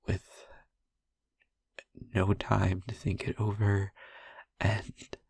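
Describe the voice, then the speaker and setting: A man playing a young vampire boyfriend in an intimate audio roleplay, haunted, tender and wry, speaking in a hushed, breathy, husky voice with long halting pauses. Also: fairly low pitch, no room sound, full character voice.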